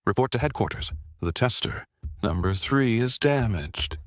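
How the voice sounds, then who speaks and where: A man voicing an exam official who makes deliberate, formal announcements in an animated dub. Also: mid-range voice, no room sound, studio quality, lightly acted. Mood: stunned. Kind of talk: animated web drama dub.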